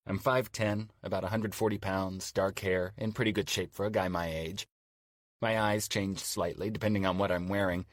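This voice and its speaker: A man's voice: lowish voice, dry recording, studio quality, natural voice. A man narrating an audiobook as a courteous, chatty older single man writing courtship emails.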